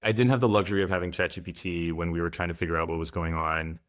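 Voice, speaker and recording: Very deep-voiced man from France. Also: little pitch movement, quick pace. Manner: thoughtful, reflective, candid. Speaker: guest, founder and father. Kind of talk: podcast interview answers.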